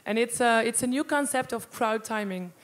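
A woman's voice: on the high side, moderate pace. A woman in her thirties presenting a project as a panelist on an indoor stage, with a handheld microphone. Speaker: panelist, startup cofounder.

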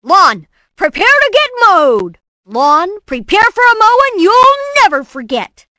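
A woman voicing a brainy, cocky, excitable boy inventor in cartoon game clips, with a theatrical, punchy delivery. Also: extremely high pitch, unhurried, no echo, studio quality, heavily acted. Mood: exuberant. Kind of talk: animated game voice clips, short exclamations and catchphrases.

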